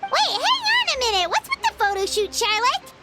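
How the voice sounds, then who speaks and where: A woman voicing a small, excitable, childlike fairy companion with a squeaky voice in an anime game. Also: moderate pace, exaggerated voice. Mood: excited, surprised. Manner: exclamatory, refers to self in third person. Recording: loud music behind the voice.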